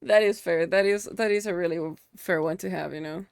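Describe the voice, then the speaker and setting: A woman's voice: lowish voice, fairly quick, vocal fry, clear, resonant tone, dry recording, studio quality. A young woman whose first language is Spanish, streaming live and chatting casually with viewers, thanking them and muttering to herself as she works. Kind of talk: casual live-stream chat while working.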